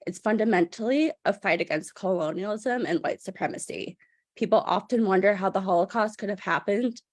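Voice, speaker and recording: A woman's voice: mid-range voice, brisk, dry recording, clean studio sound. A woman delivering a prepared public statement in a formal, firm manner. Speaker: public commenter.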